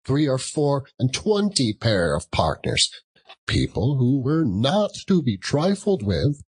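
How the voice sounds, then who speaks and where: A man reads a classic tale as a theatrical storyteller. His pace is very slow and deliberate. The voice is husky and rises and falls expressively. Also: medium pitch, wildly swooping pitch. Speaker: audiobook narrator.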